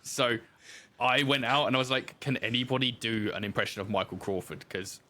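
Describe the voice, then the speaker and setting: A man's voice: medium pitch, steady tone, fairly quick, sharp tone. A man talking casually as a guest in a podcast interview, telling anecdotes with self-deprecating asides.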